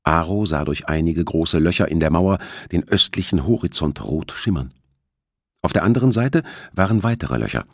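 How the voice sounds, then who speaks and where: A man's voice: deep voice, lively intonation, normal speed, dry recording, studio quality. A man reading a thriller audiobook as its narrator, in scripted storytelling style.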